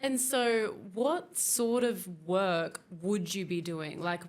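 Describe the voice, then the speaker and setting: A woman's voice: medium pitch, animated, takes it slowly. A woman with an Australian accent hosting a podcast interview, asking questions and backing up her guest with frequent yeahs. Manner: encouraging, frequent backchannels. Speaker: podcast host.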